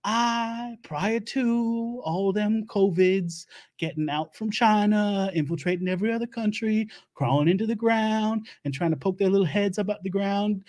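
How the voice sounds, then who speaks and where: A man's voice: high voice, moderate pace, a little mellow, natural voice. Man playing a rambling Louisiana doomsday-prep host. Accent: put-on Louisiana. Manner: rambling, stumbling, laughs at own jokes. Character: bumbling self-proclaimed Southern doomsday-prep lecturer man. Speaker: comedy show host. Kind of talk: improvised comic monologue. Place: home studio.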